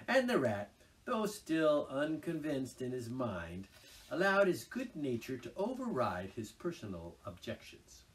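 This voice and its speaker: A man reading a classic children's tale aloud, his voice rising and falling with lively expression. He sounds like a seasoned storyteller. The voice sits a little back from the microphone. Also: mid-range voice, recorded from a distance, rough recording. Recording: voice a little off-mic.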